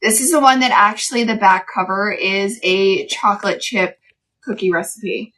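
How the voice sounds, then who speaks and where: A woman's voice: mid-range voice, moderate pace, fairly bright tone. A woman in her late thirties hosting a live craft stream, chatting casually with viewers and explaining each step as she works. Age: 30s. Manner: chatty, laughs often, explains steps.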